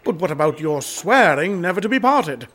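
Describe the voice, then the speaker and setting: A man acting a doomed, anguished gentleman in a radio drama, with husky voice and expressive old-fashioned upper-class phrasing. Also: high voice, normal speed. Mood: hearty surprise. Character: doomed gentleman haunted by a family suicide curse, anguished.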